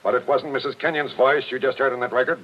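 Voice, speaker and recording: A man playing a gruff, relentless police captain with forceful, clipped talk in a 1940s radio drama. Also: medium pitch, fairly even intonation, fast, lightly acted. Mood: insistent, explaining. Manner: forceful, insistent, clipped tough-cop talk. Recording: dull vintage 1940s radio recording.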